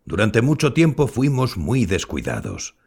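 A man acting a kindly, modest old grandfather and former scientist in an audio drama, explaining things in a measured way. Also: medium pitch, huge pitch swings, a little mellow, husky, exaggerated voice. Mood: earnest, reflective. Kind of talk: scripted audio fiction acting.

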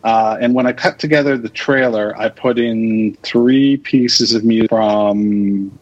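A man giving casual interview answers as a podcast guest, thinking aloud in halting fragments. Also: fairly low pitch, fairly even intonation. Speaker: podcast guest, radio drama creator and producer. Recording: dull lo-fi audio.

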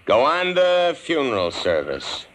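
An actor playing a surly, sarcastic working-class hearse driver, gruff and needling, in a 1950s radio drama. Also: male voice, mid-range voice, huge pitch swings, unhurried, fairly bright tone. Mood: suspicious, grudging. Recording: noisy vintage 1950s radio recording.

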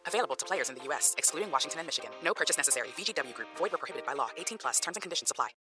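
A man rattling off the legal disclaimer at the end of a commercial in a clipped, hurried way, with music behind him. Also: a little high, lively intonation.

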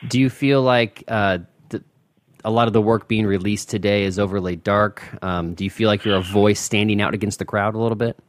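Low-voiced male podcast host, dry. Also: steady tone, fairly quick, dry recording. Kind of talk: casual interview.

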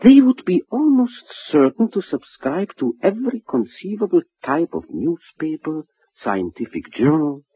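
An actor playing an earnest, eccentric gentleman who lectures in formal, precise, courteous speech in an old-time radio drama. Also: on the high side, sing-song, moderate pace, few pauses, husky, no echo. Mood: precise, eager. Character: earnest, eccentric, well-to-do man obsessed with Martian spies. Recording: muffled, dry old radio recording.

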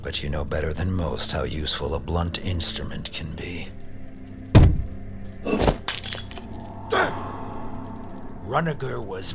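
An actor playing a menacing boss of shadowy mercenary mages in an audio drama, speaking in a deliberate, scornful, formal way. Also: male voice, low-pitched, heavily acted. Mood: cold anger. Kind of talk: audio fiction acting.

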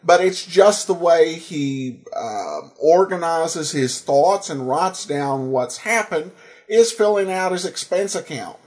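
A man's voice: on the high side, animated, slow. A man hosting a podcast, giving commentary in an earnest way with emphatic, uneven stress. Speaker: podcast host. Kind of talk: podcast commentary and sponsor read.

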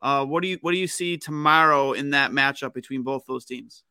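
A man's voice: mid-range voice, brisk, sharp tone, clear, resonant tone, no room sound, clean studio sound. A man co-hosting a hockey podcast in casual, chatty style. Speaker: podcast co-host.

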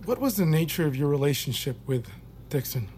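A man playing an uneasy military doctor in an audio drama, questioning in a measured, hesitant, coaxing way. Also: a little high, animated, a little mellow, slight background noise, lightly acted. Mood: polite, probing. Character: probing, uneasy male military doctor interviewing an accused recruit. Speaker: voice actor in audio fiction. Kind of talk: naturalistic audio drama acting.

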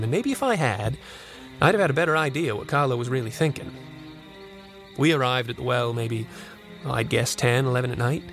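A man's voice: medium pitch, natural voice. Male actor as folksy storyteller, haunted, reverent, suspenseful, audio drama, music behind. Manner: suspenseful, deliberate storyteller with folksy turns of phrase. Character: folksy old storytelling grandfather, haunted and reverent about fairies. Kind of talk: dramatic first-person narration on a recorded tape.

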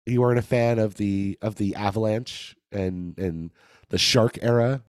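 A man with a low-ish, raspy voice hosts a casual sports podcast, sounding a bit hoarse. He is playful and laughs easily. The sound is dry and close. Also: unhurried, no room sound. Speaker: podcast host.

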